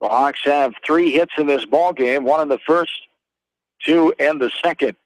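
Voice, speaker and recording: American male radio play-by-play caller for live baseball, slightly high voice, terse phrasing, dry sound. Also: moderate pace, no echo, rough recording.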